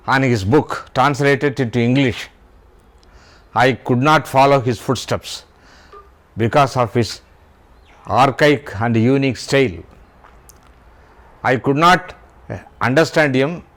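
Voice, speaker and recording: Casting: older Indian-accented man, unhurried literary talk, spells out words. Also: medium pitch, fairly bright tone. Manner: deliberate, spells out words letter by letter. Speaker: YouTube host, writer.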